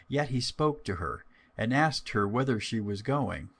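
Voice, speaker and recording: A man's voice: medium pitch, animated, a little slow, gravelly, fairly bright tone, slightly rough. A man narrating a scripted fairy tale as a storyteller.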